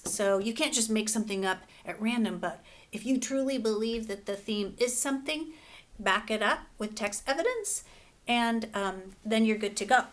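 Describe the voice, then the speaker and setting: A woman teacher reading a children's picture book aloud, relaxed and gently instructive. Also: on the high side.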